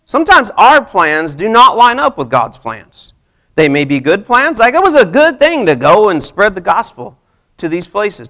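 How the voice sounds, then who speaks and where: A pastor in his thirties preaches in a church hall, talking to his congregation like a friend. His voice sits high and leaps up and down as he works up to each point, and he keeps throwing questions at the room for them to answer. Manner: animated, conversational preaching with audience questions. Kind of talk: sermon. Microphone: handheld mic, far camera.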